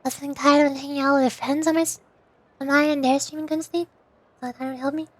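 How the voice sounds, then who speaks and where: A woman's voice: high voice, little pitch movement, fast talker, dry recording. A woman chatting casually and playfully with her stream viewers as she plays a game, giggling and teasing as she goes. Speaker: VTuber livestream host.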